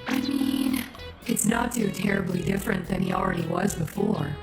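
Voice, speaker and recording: A woman's voice: mid-range voice, expressive, normal speed, gravelly. A woman voicing a sassy but fond female animatronic wolf, teasing and dry, in a fan cartoon dub. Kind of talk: fan comic dub acting. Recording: echoey, with loud music.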